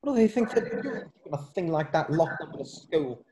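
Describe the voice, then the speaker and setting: A man's voice: on the high side, sing-song, a little fast, background noise. A man playing an eager, easily alarmed young schoolboy wizard in an amateur table read. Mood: indignant.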